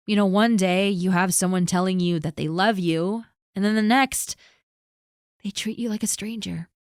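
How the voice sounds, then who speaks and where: A woman performing a scripted audio roleplay, gently and earnestly reassuring a friend who is spoken to directly. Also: mid-range voice, fairly even intonation, moderate pace, smooth, dry recording, clean studio sound.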